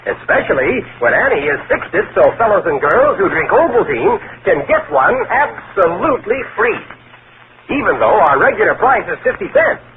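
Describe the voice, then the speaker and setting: A man's voice: high voice, very animated, normal speed. An American man reading a 1940s radio commercial for children in an eager, chummy, emphatic way. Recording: muffled, faintly hissy 1940s radio.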